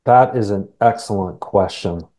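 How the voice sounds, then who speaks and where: A man's voice: lowish voice, measured pace, mellow. A middle-aged man with a Canadian accent, talking earnestly and reflectively as a guest in a casual interview, often checking in with short tag questions. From Canada, born in England. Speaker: interview guest, sales coach.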